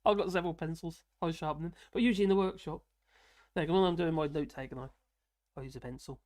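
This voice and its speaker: Man, UK, light high-ish voice, casual live chat, rambling, chuckles a lot. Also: quick pace, a little mellow. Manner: rambling, self-deprecating, chuckling often. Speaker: live stream host.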